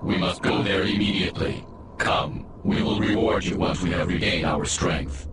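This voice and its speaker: Man voicing very deep, echoing warrior of bound souls. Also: heavy background noise, close to an everyday voice. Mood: urgent, commanding. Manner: slow, solemn, formal, speaks as a collective. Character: bound souls fused into one grim, commanding sorcerous warrior. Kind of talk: video game cutscene acting. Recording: echoing voice under loud music.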